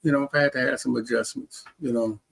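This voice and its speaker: A man's voice: medium pitch, steady tone, fast, vocal fry, a bit bright, rough recording. An American man telling personal stories casually as an interview guest, often repeating and restarting his phrases. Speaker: interview guest, heart transplant recipient.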